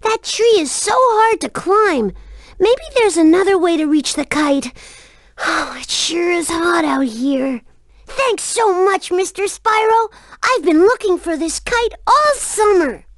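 A woman's voice: very high-pitched, lively intonation, takes it slowly, no room sound, studio quality, heavily acted. A woman voicing a small, eager, innocent child creature in a video game, with a childlike, pleading manner. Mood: wistful, grateful. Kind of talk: video game character dialogue.

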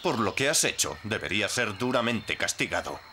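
An actor playing a stern, authoritative reserve guide in a dubbed cartoon, firm and formal. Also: male voice, on the low side, sing-song, normal speed, bright, forceful tone, slight background noise.

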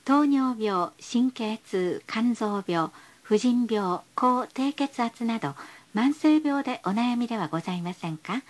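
Female transit announcer: high voice, very melodic intonation, polite and formal, precise enunciation for scripted stop calls. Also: expressive, measured pace, hardly any pauses. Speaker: bus announcer.

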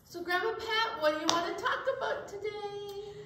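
High-pitched woman hosting storytime, very echoey. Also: normal speed. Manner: addresses young children directly, chatty. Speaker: children's library storytime host. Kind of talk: children's storytime presenting. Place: indoor library storytime set, seated. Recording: echoey room, faint noise.

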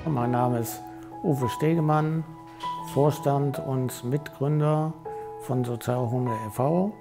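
Man, fifties, slow pace, gravelly creak, interview style, music behind. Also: medium pitch, fairly even intonation. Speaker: board member and co-founder. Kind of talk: interview self-introduction for a promotional film. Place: bright meeting room indoors.

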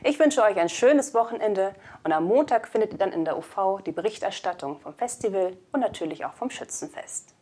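A woman's voice: medium pitch, animated, a little fast, hardly any pauses, warm tone, slightly rough. A woman in her twenties presenting scripted news in a studio, recorded on a lapel mic. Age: 20s. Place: indoor studio.

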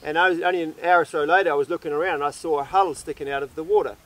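A young man hosting a casual sailing interview outdoors by the sea, relaxed and chatty, asking questions. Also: on the high side, racing. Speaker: sailing vlog host.

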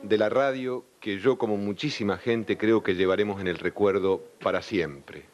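A man from the interior shares a reflective recollection. His voice is rather low. The studio table microphone gives a somewhat muffled sound. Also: normal speed, soft background music. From Argentine interior. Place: television studio. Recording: slightly dull studio sound.

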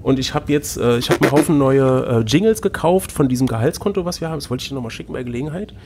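A man's voice: mid-range voice, expressive, fast, a little mellow, a little hiss or hum. A man co-hosting a podcast, reading a gamebook aloud with playful, teasing banter. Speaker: podcast co-host and reader.

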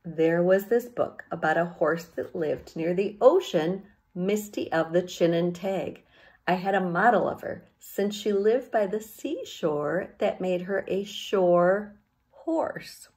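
A middle-aged woman reading a children's novel aloud as an expressive storyteller, recorded at home on a handheld-distance device. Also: lowish voice, slow. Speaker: teacher reading a book aloud. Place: small home room with bookshelves.

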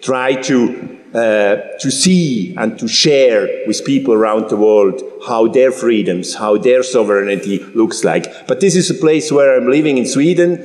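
Swiss-born man in his fifties, halting. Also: medium pitch, darkish tone. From Switzerland. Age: 50s. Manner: halting, many restarts and fillers. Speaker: guest speaker. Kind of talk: public talk. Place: large church hall. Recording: roomy hall with long reverb.

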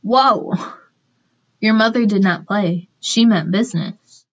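A woman's voice: medium pitch, slow pace. A woman playing a friendly, chatty young interviewer in a radio play. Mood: curious. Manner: casual, chatty. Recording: muffled, music underneath.

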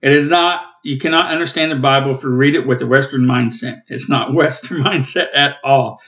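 A man in his late fifties with a rough, coughing throat, giving a rambling, plainspoken Bible study from home over a webcam. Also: mid-range voice, brisk, fairly bright tone. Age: 50s. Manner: rambling, plainspoken, self-corrects. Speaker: Bible teacher and channel host. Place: small room at home, indoors.

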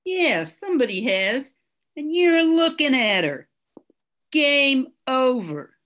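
A bitter, sardonic motel clerk out to blackmail, from an old radio crime play voiced by an older woman. She draws her words out slowly. The pitch is fairly high and very lively. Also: full character voice. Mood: threatening. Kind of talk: 1940s radio drama acting. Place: home room, video call. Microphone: computer mic on a video call.

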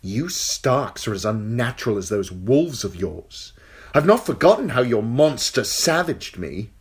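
A man's voice: medium pitch, big pitch swings, unhurried, slightly rough, pitched above the performer's own voice, exaggerated voice. A man voicing a cruel, petulant boy king who sneers and bullies. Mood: gloating. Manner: sneering, spoiled and bullying.

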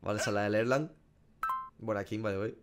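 A man's voice: lowish voice, steady tone, fast, loud music behind the voice. A man, giving casual, profanity-laced reaction commentary as a gaming streamer. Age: 20s. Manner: slangy, profane. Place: small room at home.

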